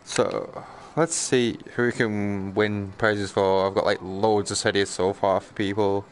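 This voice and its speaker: A man's voice: lowish voice, level tone, a little slow, slight background noise. A man casually muttering to himself with mild swearing while live-streaming a game.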